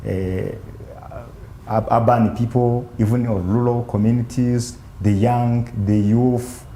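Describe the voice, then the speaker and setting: A man on a panel answering hesitantly, with many fillers and slow, emphatic phrases. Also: medium pitch, flat delivery, mellow, echoey room, a little hiss or hum. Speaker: panel guest.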